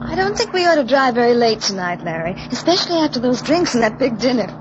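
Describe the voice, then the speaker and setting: High-voiced young American wife. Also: female voice, very animated, quick pace. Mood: affectionate, wistful. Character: affectionate, romantic, slightly anxious young American wife. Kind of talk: 1940s radio drama acting. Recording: muffled, noisy old radio recording.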